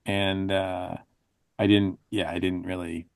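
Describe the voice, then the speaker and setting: A man on a podcast panel giving his opinions in a casual, halting, hedging way. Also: deep voice, flat delivery, creaky, clear, resonant tone, no room sound, studio quality. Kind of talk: casual review chat.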